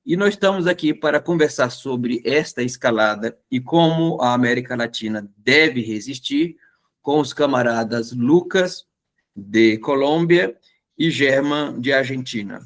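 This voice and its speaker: A man's voice: medium pitch, expressive, unhurried. Man hosting a political livestream. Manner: introduces the topic with "eh" fillers and restarts. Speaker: host.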